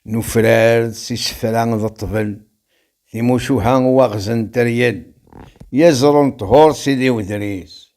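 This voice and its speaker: A man with a Kabyle accent reciting rhymed verse as a poet, in a measured, declamatory delivery. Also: medium pitch, darkish tone.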